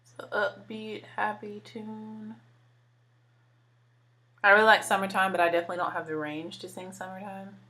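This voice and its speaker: A woman in her twenties with a deeper voice, chatting casually while doing her makeup and using lots of filler words. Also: level tone, moderate pace, long pauses, sharp tone. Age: 20s. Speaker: beauty vlogger. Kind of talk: casual makeup tutorial chat.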